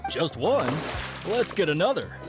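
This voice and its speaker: A woman's voice: on the low side, big pitch swings, unhurried, music in the background. A woman voicing an easygoing, indulgent drinking companion in a video game, casual and coaxing. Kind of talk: video game character acting.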